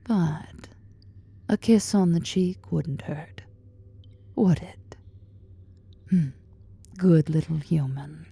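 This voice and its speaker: A woman plays an imperious spider-woman of fantasy audio roleplay, very dark and velvety in tone. She speaks low and unhurried, with a husky breath, teasing as she gives commands. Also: exaggerated voice. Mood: sultry, tender. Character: powerful, imperious, flirtatious female drider, half-spider monster. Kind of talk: ASMR fantasy roleplay acting.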